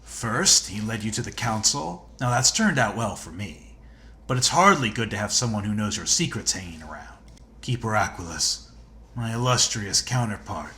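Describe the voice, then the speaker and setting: An actor playing a smug, ruthless schemer in a video game, with a dry, suave, calculating delivery. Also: male voice, fairly low pitch, lively intonation, moderate pace, pushed and bright. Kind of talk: video game character acting.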